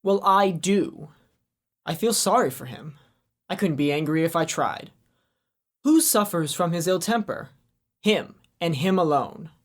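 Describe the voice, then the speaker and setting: A woman's voice: fairly low pitch, expressive, measured pace, pauses often. A woman playing an earnest, forgiving party guest in a student radio drama.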